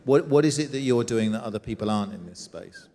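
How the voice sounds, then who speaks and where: A man moderating a conference interview, with a voice of ordinary pitch for a man. He is dry and jokey, slips in quips and often stammers as he restarts a question. The sound carries the long reverb of a large hall. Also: lively intonation, fast, mellow, slight background noise. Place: conference stage before an audience.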